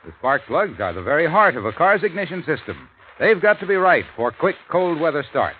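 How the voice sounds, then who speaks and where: An actor playing a hearty, eager pitchman in an old radio commercial, punchy and enthusiastic. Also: big pitch swings, brisk. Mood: hearty selling. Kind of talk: 1950s radio ad reading. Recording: tinny, noisy old radio recording.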